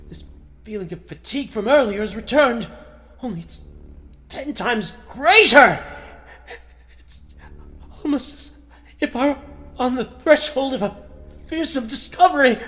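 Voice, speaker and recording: A man playing an earnest, easily astonished newspaper reporter with halting, exclamatory delivery, in 1940s radio serial style. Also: very high voice, animated, fairly bright tone, full character voice. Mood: weakening.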